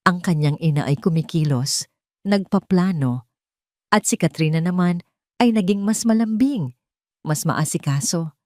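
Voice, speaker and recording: A woman reading a scripted story as narrator, with a measured, dramatic delivery. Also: lowish voice, dark tone, no room sound, studio quality.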